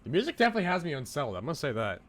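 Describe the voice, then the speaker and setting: A man's voice: on the high side, very animated, rapid-fire. A man chatting casually as a live game streamer, greeting viewers in a lifted voice.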